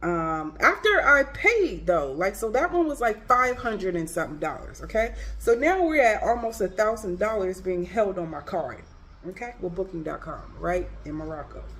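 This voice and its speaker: A woman's voice: mid-range voice, very animated, moderate pace, fairly bright tone. A woman with a congested, stuffy voice telling a chatty, exasperated story for her travel vlog in casual slang. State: congested from a cold. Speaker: travel vlogger.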